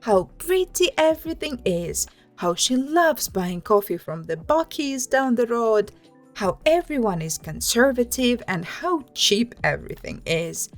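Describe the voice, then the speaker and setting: High-pitched, lively woman reading stories aloud. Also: measured pace, soft background music. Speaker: host reading online stories and commenting. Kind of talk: scripted narration of internet stories with commentary.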